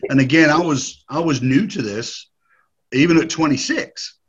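A man's voice: fairly high pitch, moderate pace, husky, highs cut off. A man in his fifties, a former footballer, reminiscing in a rambling, nostalgic way with frequent restarts and laughs. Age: 50s. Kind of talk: casual reminiscing chat.

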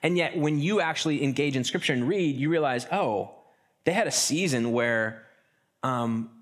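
A man preaching a sermon in a relaxed, conversational way, often restarting phrases and slipping into quick acted asides. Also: medium pitch. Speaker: pastor.

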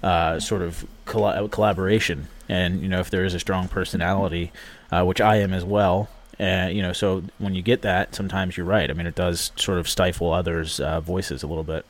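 A man with a very deep voice talks through his views in a casual panel discussion. He speaks in a measured way, with plenty of "you know" and "uh" as he builds his points. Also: fairly even intonation. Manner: measured, many fillers. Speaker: panel guest, association board member.

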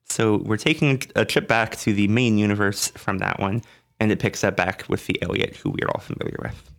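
A man co-hosting a podcast, hoarse from a flu, talking in a relaxed, thoughtful way with many pauses. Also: on the low side. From Canada. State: hoarse, getting over a flu. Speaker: podcast co-host. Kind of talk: casual chat. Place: dark basement room.

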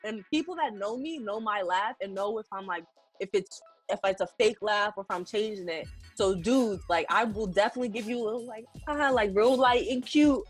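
A young woman co-hosting a podcast, telling stories casually, slangy and quick to laugh. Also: mid-range voice, slightly rough, soft background music. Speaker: podcast co-host. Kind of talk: casual chat among friends.

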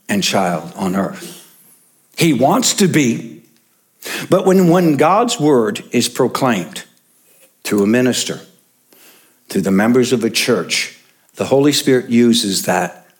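A man preaching in church with a lively, rising-and-falling voice. He talks slowly and deliberately, stressing and repeating each point for the congregation. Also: medium pitch. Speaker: pastor. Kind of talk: sermon.

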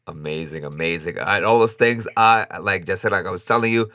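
A man's voice: deep voice, fairly quick, sharp tone, no room sound. A man from Brooklyn hosting a casual podcast interview, upbeat and chatty, laughing often. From Brooklyn, New York. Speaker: podcast host.

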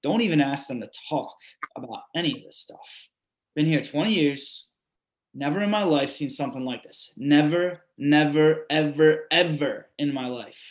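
A man's voice: a little high, moderate pace, reverberant, natural voice. An actor playing a longtime male resident who recounts the storm fires in an earthy, emphatic way, swearing and then apologizing. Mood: shaken. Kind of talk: readers theatre monologue.

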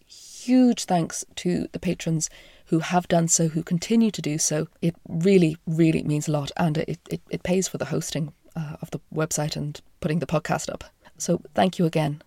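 A woman with a croaky voice from a chest infection, hosting a podcast and retelling an old myth in a slow, hushed, half-whispered way. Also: lowish voice, dry recording, clean studio sound. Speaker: podcast host and storyteller.

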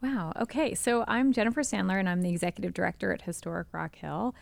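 A woman's voice: medium pitch, animated, fast, vocal fry, dry recording. A woman in her thirties from North Carolina, chatting as a podcast guest with many ums and restarts. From Charlotte, North Carolina. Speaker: guest, executive director of a history group. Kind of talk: casual podcast chat.

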